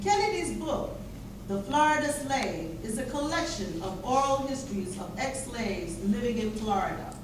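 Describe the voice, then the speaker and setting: A woman's voice: on the high side, lively intonation, normal speed. A woman reading scripted narration for a live stage presentation, picked up from a distance in an echoey chapel. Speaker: readers theater narrator. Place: indoor chapel, live performance. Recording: echoey room, distant mic, clear background noise.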